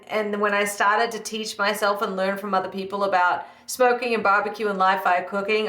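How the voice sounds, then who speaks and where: An Australian woman in her thirties talks through interview answers in a bright, chatty way, dotted with ums and quick asides. Her voice sits mid-range and carries a noticeable room echo. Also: mid-range voice. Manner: chatty, upbeat, many fillers. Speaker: guest, live fire cook. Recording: echoey room.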